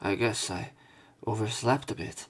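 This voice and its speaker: A man's voice: on the low side, measured pace. A man doing a casual let's play of a visual novel, reading the story aloud with rambling, conversational asides.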